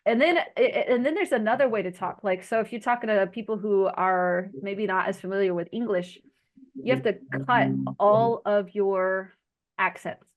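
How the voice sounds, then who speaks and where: A woman hosts a casual group video chat. Her accent mixes Arkansas Southern with New Jersey, and she tells stories in a folksy, conversational way at a middle pitch. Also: normal speed. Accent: blend of Arkansas Southern and New Jersey. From Arkansas, United States. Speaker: host of an online group chat. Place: video call.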